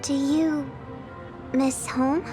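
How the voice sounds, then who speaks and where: Little girl in a game asking her big brother stuff, high and soft, slow. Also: steady tone. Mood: wistful. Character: little girl, wistful younger sister. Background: score music.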